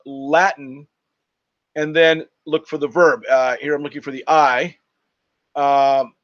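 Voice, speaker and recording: A man's voice: a little high, muffled recording. A man teaching a lesson over a screen share, explaining step by step in an instructive manner and spelling things out. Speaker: Spanish teacher.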